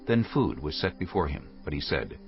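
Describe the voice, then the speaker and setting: A man's voice: rumbling low, big pitch swings, moderate pace. A man narrating scripture as a scripted dramatized audio reading. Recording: dull lo-fi audio, faint music.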